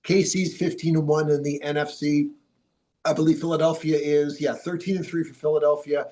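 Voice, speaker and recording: A man's voice: on the high side, little pitch movement, fairly quick, dark tone. A man hosting a sports podcast from a TV studio, chatting casually with a broadcaster's polish while stuffy from a cold. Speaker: podcast host.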